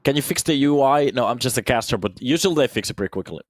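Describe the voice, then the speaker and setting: A man in his thirties, raised in Finland, giving lively solo play-by-play on a shooter match and chatting with viewers between rounds. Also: medium pitch, quick pace, a little hiss or hum. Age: 30s. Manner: energetic, chatty, answers viewer questions between plays. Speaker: esports caster. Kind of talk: solo play-by-play commentary with chat replies.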